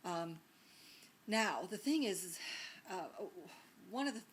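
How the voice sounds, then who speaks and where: A woman's voice: mid-range voice, expressive, slow pace, slight background noise. A woman teacher giving a recorded lecture in a conversational way, with many ums and restarts and frequent rhetorical questions.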